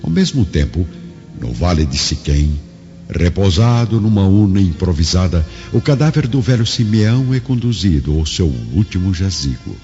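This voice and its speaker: A man's voice: sits low, very animated, moderate pace, warm tone. A man with a Brazilian accent narrating a dramatized audiobook in a solemn, literary style. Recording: dull lo-fi audio, music behind. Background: background music.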